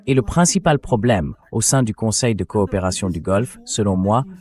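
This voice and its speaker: Low-voiced man giving political analysis. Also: lively intonation, moderate pace, darkish tone. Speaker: interview guest, analyst. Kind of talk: translated political commentary voice-over read by synthetic voice.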